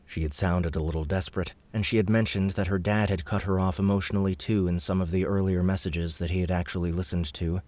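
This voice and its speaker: A man with an extremely deep, low voice reading an audiobook. His narration is measured and steady, paced for a listener following a story.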